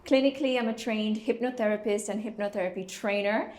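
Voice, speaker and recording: A woman's voice: mid-range voice, steady tone, a little fast, a little mellow. A woman who runs a wellness center and works as a hypnotherapist, introducing herself in a composed, professional way. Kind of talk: panel introduction. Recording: echoey room.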